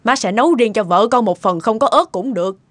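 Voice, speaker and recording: A woman playing a stern, sharp-tongued older mother-in-law in a cartoon, blunt and scolding. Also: a little high, lively intonation, fairly quick, dry recording, natural voice. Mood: indignant. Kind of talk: cartoon dialogue.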